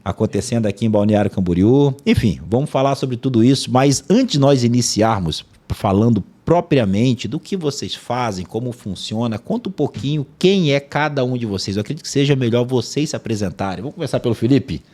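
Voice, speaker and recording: Male podcast host, upbeat chatty delivery, warm mellow tone, mid pitch. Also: expressive, a little fast, few pauses. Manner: upbeat, chatty, uses fillers like "né". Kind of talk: podcast interview.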